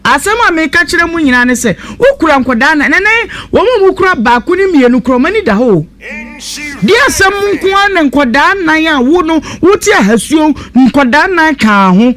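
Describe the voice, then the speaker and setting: A woman's voice: high voice, very animated, moderate pace, a bit bright, dry recording. A woman with a Ghanaian accent hosting a live radio talk show, questioning and commenting in a blunt, probing way.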